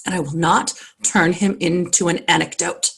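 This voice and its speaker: A cultured, wealthy society wife, played by a woman, speaks in an impassioned theatre monologue. Her voice is husky and creaky. She is intense and reflective, weighing her words with deliberate pauses. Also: on the low side, little pitch movement, a bit bright, exaggerated voice. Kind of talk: dramatic stage monologue. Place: online video call.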